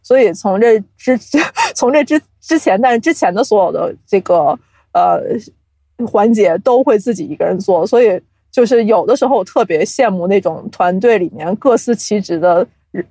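A woman's voice: medium pitch, animated, normal speed, mellow, no room sound. A woman guest on a podcast explaining things casually, like a storyteller, with self-deprecating asides. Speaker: podcast guest, audio drama producer.

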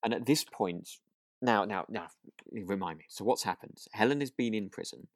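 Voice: medium pitch, moderate pace, no room sound, studio quality. A podcast co-host chatting casually about a radio drama, hesitant and thinking aloud.

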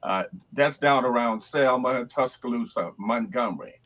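Husky older man, reflective. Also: medium pitch, moderate pace, fairly bright tone. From Alabama, US. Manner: reflective, storytelling, explains with many restarts. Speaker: guest choral conductor. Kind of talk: casual interview chat.

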